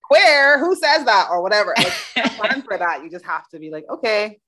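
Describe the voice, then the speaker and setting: A woman's voice: medium pitch, animated, brisk, bright, forceful tone. A middle-aged woman from Barbados hosting a podcast interview, chatting casually and thinking aloud with restarts. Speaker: podcast host.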